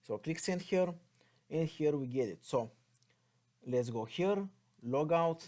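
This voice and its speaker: Mellow-voiced Bulgarian man teaching coding. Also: a little high, lively intonation, takes it slowly. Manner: thinks aloud while typing, spelling out code. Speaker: programming tutorial instructor. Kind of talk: unscripted step-by-step coding walkthrough.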